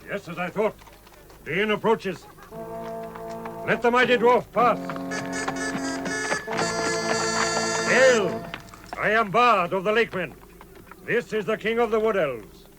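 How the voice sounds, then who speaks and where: An actor playing a stern, noble leader of men in a formal, declamatory 1960s radio drama style. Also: male voice, sits high, animated, a little slow, long pauses, poor audio. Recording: vintage radio drama, faint music.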